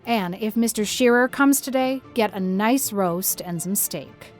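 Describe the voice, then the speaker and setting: A woman's voice: medium pitch, unhurried, faint music behind, natural voice. A woman playing a strict, practical older farm guardian in an audiobook, stern and matter-of-fact as she admonishes. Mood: admonishing. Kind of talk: audiobook character acting.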